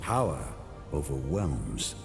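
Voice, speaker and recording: An actor playing a stern, brooding veteran knight commander in a video game, speaking in terse, weighty, commanding phrases. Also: male voice, very deep voice, sing-song, slow pace, gravelly, full character voice. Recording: long reverb, music behind.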